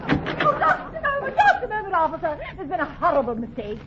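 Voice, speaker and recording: An actress playing a distressed, melodramatic high-society lady in a 1940s radio comedy. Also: huge pitch swings, moderate pace, over a noisy crowd, exaggerated voice. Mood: flustered apology. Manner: melodramatic, fluttery. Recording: very noisy, muffled old-time radio recording.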